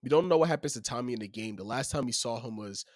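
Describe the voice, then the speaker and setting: A young man with a voice gone a bit raspy from being sick, reading out his game review in a steady, earnest and opinionated tone. His pitch sits in the middle of the range for a man. The recording is close and dry, with no room sound. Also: fast, dry recording. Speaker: video game review host. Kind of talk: scripted game review read aloud.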